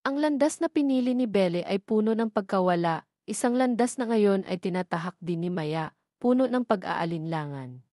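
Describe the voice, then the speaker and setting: A woman reading a scripted dramatic story as narrator, in a measured, formal storytelling delivery. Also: mid-range voice, expressive, mellow, no echo, clean studio sound. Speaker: narrator of audio love stories.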